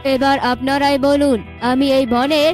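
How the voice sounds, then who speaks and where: A woman voicing a calm, authoritative male bird king in a children's cartoon, speaking in a measured way. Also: high voice, faint music behind, voice pushed down. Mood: calm, commanding.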